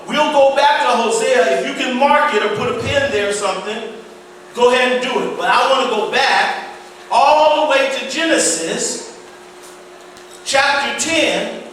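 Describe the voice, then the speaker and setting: High-voiced pastor in an echoey hall. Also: male voice, a bit bright, a little hiss or hum. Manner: slow, stumbling over Hebrew names, repeats words. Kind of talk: Bible teaching sermon. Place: church hall. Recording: echoey hall, voice far from mic.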